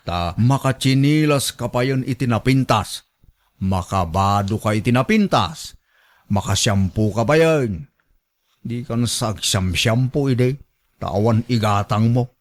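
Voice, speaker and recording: A man's voice: mid-range voice, a little slow, natural voice. A man with an Ilocano accent playing a domineering husband who nags and orders his wife around in a radio drama. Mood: bossy, demanding. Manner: domineering, nagging.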